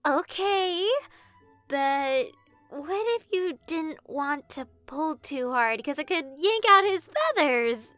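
A woman voicing a naive, eager little female kitten in a comic dub, with a childlike, earnest, excitable manner. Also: high voice, slow, soft background music, exaggerated voice. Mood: hesitant, sheepish. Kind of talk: animated comic dub acting.

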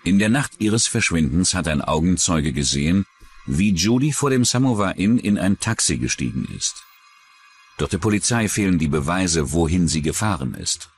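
A man's voice: low voice, lively intonation, normal speed, a little hiss or hum. A man reading scripted true-crime documentary narration as the narrator.

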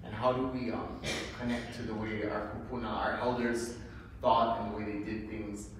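A man speaking as a panelist at a discussion, soft-spoken with frequent fillers, into a handheld microphone. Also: fairly low pitch, little pitch movement, normal speed, slight background noise. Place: large hotel meeting room. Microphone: handheld mic, recorded by a far camera. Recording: echoey hall from far off.

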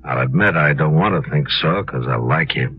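An actor playing a stern, weary American frontier marshal in 1950s radio western style, measured and plain-spoken. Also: male voice, very deep voice. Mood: grave, restrained. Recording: dull, noisy 1950s radio recording.

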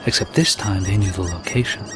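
An actor playing a weary, sombre soldier narrating a war story in a video game cutscene. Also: male voice, low-pitched, fairly quick, noisy background, lightly acted. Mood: grim, sombre. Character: weary grim soldier narrating his search for his lost brother.

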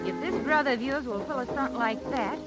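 High-pitched, coaxing 1930s showgirl. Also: brisk, low-quality recording. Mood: urgent, scheming. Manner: urgent, coaxing. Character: Polly, pleading, scheming, pushy young showgirl. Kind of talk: 1930s radio acting. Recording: vintage radio broadcast with loud music behind.

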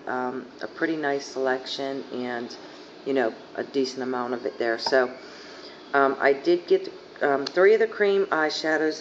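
A young woman with a hoarse, fading voice rambling casually through a makeup haul, full of fillers, filmed on a phone on her living room couch. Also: extremely low pitch. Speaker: beauty vlogger. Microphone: phone camera at arm's length. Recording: dull lo-fi phone audio, faint hiss.

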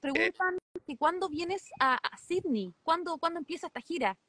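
A woman in her thirties hosting a casual interview outdoors in the countryside, chatty and quick to laugh. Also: very high voice, animated, a bit bright. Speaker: host.

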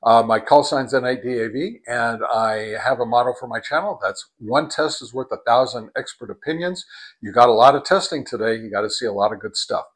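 An older man in his sixties hosts a laid-back radio-testing vlog. His voice sits at an ordinary middle pitch, and he talks in a relaxed, halting way, pausing and restarting often. Between chats he counts off test calls in a steady rhythm. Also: breathy edge. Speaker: ham radio channel host.

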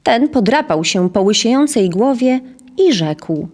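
A woman's voice: fairly high pitch, very animated, a little slow, darkish tone. A woman narrating a scripted historical tale as the storyteller of an audio drama.

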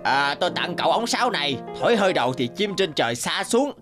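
A man voicing a small, kindly old bearded man, gentle and grateful, in an animated fairy tale. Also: fairly high pitch, fast talker, music in the background.

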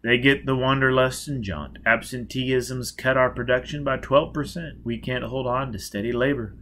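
A man's voice: medium pitch, fairly even intonation, moderate pace, fairly bright tone, slight background noise, lightly acted. A man voicing a boastful, overeager small-town mayor in an audiobook, with clipped, exclamatory sales patter. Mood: exasperated.

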